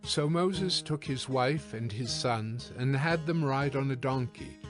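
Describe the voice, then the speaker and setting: Male narrator, medium pitch, measured formal scripture storytelling, clear musical backing. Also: music in the background.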